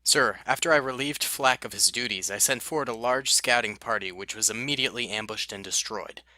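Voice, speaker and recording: A man's voice: medium pitch, a little fast, bright, forceful tone, no room sound. A man playing a dutiful veteran clone captain. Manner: formal, urgent reporting.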